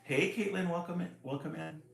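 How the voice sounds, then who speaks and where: A man's voice: a little high, brisk, gravelly, echoey room, faint music behind. Chatty man reading picture books aloud. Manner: chuckling, chatty, greets viewers by name. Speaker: children's book read-aloud host. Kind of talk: casual livestream read-aloud.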